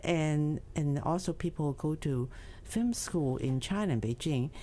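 A woman speaks in a notably low voice. She answers in short halting phrases, pausing on fillers as she searches for words. Faint noise sits behind her. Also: lively intonation, dark tone. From Hong Kong. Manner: hesitant, halting speech with many fillers. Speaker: documentary filmmaker and teacher.

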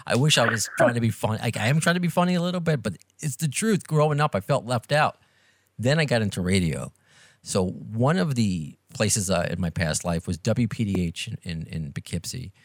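A man's voice: fairly low pitch, lively intonation, fairly quick, no room sound. A middle-aged man with a New York accent, hosting a podcast interview in a chatty, self-deprecating way. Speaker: podcast host and radio presenter. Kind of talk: casual interview chat.

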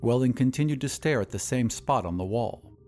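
A man's voice: medium pitch, lively intonation, fairly quick, darkish tone, soft background music. A man narrating a scripted short story in a dramatic audio drama style.

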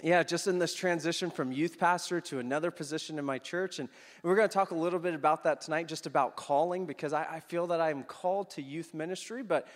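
A man's voice: fairly high pitch, quick pace. Upbeat man preaching in a reverberant church. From Philippines. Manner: upbeat and joking with the congregation. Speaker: guest preacher. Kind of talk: sermon with personal story. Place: church hall. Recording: long church hall reverb.

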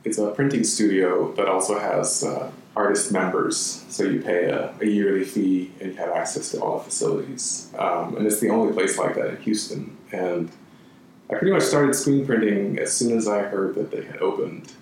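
A man from Chile, a guest in an interview, speaking in a thoughtful, halting way with many restarts. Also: on the low side, darkish tone, very echoey, distant mic, a little hiss or hum, rough recording. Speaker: guest, architect and screen printer. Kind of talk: relaxed conversational interview.

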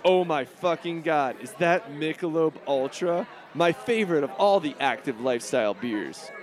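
A man's voice: on the high side, lively intonation, moderate pace, close to an everyday voice. A man playing a bratty, swaggering, foul-mouthed rich schoolboy in a comedy cartoon, cocky and sarcastic. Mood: smug. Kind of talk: animated comedy voice acting.

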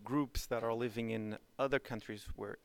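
A male podcast host speaks casually and a little haltingly, often restarting his sentences. His voice is smooth and clear at a middle pitch. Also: fairly even intonation, no room sound. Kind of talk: conversational interview.

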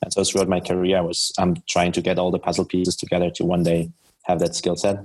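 A man's voice: sits low, monotone, quick pace, a little mellow, slightly rough, low-quality recording. A man from Germany with a German accent, answering conversationally as a guest in a podcast interview. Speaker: podcast guest, startup founder.

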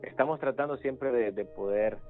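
A man speaks casually as an interview guest, halting and repeating words as he finds his phrasing. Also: mid-range voice, phone-quality sound, faint music behind. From El Salvador. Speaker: interview guest, youth ministries pastor. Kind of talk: casual spoken testimony.

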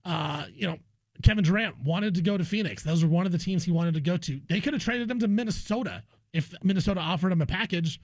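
Sports talk co-host: American male, higher-register voice, casual and opinionated, dry studio sound. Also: fast talker, no room sound. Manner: conversational, repeats phrases for emphasis. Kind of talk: casual, opinionated basketball discussion.

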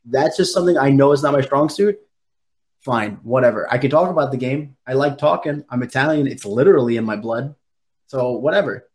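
A man's voice: mid-range voice, a little fast, darkish tone. A man chatting on a podcast in a laid-back, blunt, profane way. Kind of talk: casual podcast chat.

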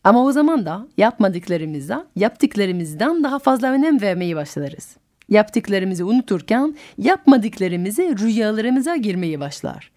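A French woman with a French accent, hosting a radio show and telling a folk tale in an expressive, musical way. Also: mid-range voice, measured pace, long reverb tail. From France. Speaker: radio host and storyteller. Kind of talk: storytelling for adults on radio.

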